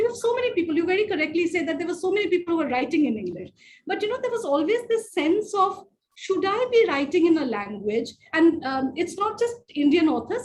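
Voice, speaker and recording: A woman's voice: sits high, darkish tone, a little hiss or hum. A woman in a literature discussion, answering questions politely with many fillers and restarts. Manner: polite, addresses others as ma'am.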